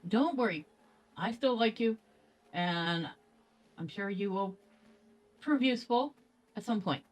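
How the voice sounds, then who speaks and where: A woman hosting a casual let's play, thinking aloud playfully as she goes. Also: lowish voice. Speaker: gaming video host.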